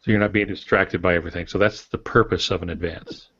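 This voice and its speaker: Man, low voice, casual explanatory podcast talk, frank, dull lo-fi sound. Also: fairly even intonation, fast talker, fairly bright tone, husky. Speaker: author, podcast guest or panelist. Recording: dull, roomy podcast audio.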